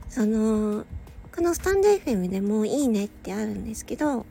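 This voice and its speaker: High-pitched, tired woman chatting casually. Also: lively intonation, moderate pace, gravelly. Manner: hesitant, meandering, often giggly. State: tired, slightly slurred speech at night. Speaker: audio channel host and hobby voice performer. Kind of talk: casual solo chat to listeners. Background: soft background music or ambience.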